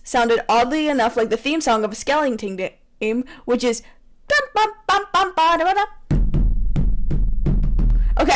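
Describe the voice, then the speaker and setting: A young woman hosting, who reads her own fanfiction aloud to friends with lively asides. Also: fairly high pitch. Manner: animated, breaks off into laughter and asides. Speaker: host reading fanfiction aloud. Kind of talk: comedic reading with friends.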